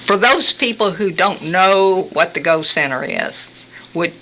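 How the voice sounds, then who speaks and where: A man co-hosting a radio talk show, prompting the guest in an unhurried way. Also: high voice, expressive, sharp tone, slight background noise, rough recording. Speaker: radio co-host. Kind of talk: radio interview. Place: radio studio.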